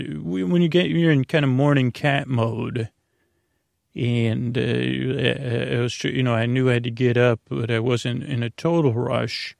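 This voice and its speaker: A man hosting a bedtime podcast in a creaky voice, soothing and lulling, with a halting, stammering, meandering way of talking. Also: mid-range voice, dry recording, studio quality. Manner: halting, stammering, trailing into tangents; soothing, lulling, gently whimsical. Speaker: host of a bedtime story podcast. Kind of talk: rambling, meandering sleep-podcast monologue.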